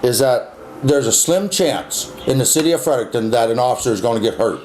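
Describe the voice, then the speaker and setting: A man's voice: mid-range voice, brisk, over a noisy crowd. A man in a casual opinionated debate, blunt and insistent, swearing and repeating himself to make his point. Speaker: interviewee. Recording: echoey, clearly noisy background.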